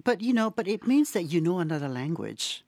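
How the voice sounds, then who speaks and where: Guest artist, casual interview, thoughtful and halting, dry close mic. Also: medium pitch, sing-song, darkish tone, no echo. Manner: thoughtful, hesitant, many fillers.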